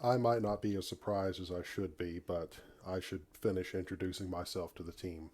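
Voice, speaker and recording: A man voicing a modest miner turned space explorer in a video game, measured and dry in delivery. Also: deep voice, steady tone, a little mellow. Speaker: player character, new recruit. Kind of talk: video game character dialogue.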